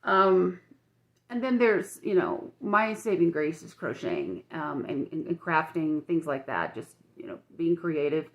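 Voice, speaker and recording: An older woman with a croaky, dry-mouthed voice, chatting frankly and rambling with many restarts in a personal vlog filmed on her phone at home. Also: low-pitched, big pitch swings, unhurried, muffled recording. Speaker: vlogger.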